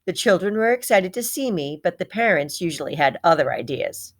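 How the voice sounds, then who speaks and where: A small male pet hamster tells his own storybook tale, acted out by a woman reader. He is bright, proud and easily heartbroken. The delivery is dramatic, in a slightly low register, with words repeated for emphasis. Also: animated, brisk. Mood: wistful, eager. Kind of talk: children's storybook read-aloud in first person.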